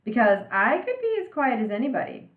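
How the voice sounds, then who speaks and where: Woman playing storybook mother, bossy, talkative, brisk banter, roomy band-limited recording. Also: a little high, big pitch swings, phone-quality sound, pitched above the performer's own voice. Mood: mock offended. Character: talkative, bossy mother scolding her young son.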